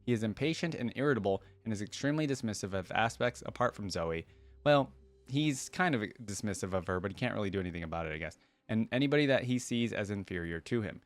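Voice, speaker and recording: Guy with a lowish voice doing a casual reaction vid, rambly, thinking out loud, dry close sound. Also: animated, quick pace, no room sound. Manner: informal, rambling, thinking aloud. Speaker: reaction video host. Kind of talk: casual gaming reaction commentary, reading wiki aloud.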